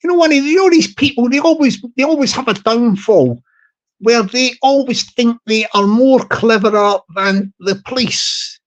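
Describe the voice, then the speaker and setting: A man with a high-pitched tenor voice, chatting to camera in a rambling, repetitive way. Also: moderate pace, lo-fi sound. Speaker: YouTuber. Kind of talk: casual monologue to camera.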